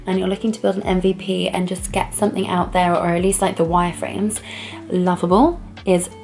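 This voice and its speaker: A woman in her twenties, a startup founder, chatting excitedly in a casual vlog with lots of filler words and a croaky voice. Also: fairly low pitch, fairly quick. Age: 20s. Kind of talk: casual vlog chat to camera.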